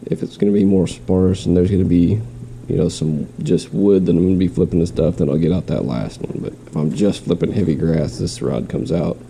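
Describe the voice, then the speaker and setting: Deep gravelly man with a stuffy nose. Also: a little fast, few pauses, dark tone, slight background noise. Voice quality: nasal. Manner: rambling, with restarts. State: stuffy nose, slight cough. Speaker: fishing vlogger. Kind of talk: casual show-and-tell. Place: home, in quarantine.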